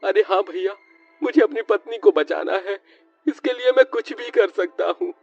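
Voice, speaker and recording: A man's voice: sits high, moderate pace, vocal fry, no echo. Guy doing a scared farmer husband, stammery and anxious, cartoon horror, muffled audio. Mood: desperate. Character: frightened, anxious male farmer, a devoted husband. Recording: muffled lo-fi audio with music behind.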